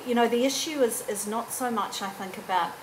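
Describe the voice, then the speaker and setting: A woman's voice: fairly high pitch, a little fast. A woman in her forties speaking directly and with firm opinions on a public panel. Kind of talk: panel discussion. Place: university lecture room. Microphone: table microphone. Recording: room with background hum.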